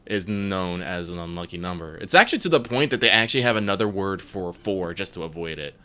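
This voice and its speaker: A man's voice: deep voice, lively intonation, a little fast, bright, forceful tone. A man co-hosting a live gaming stream, mostly short reactions with the odd trivia aside. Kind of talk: casual live-stream chat over gameplay. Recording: audible background noise on stream audio.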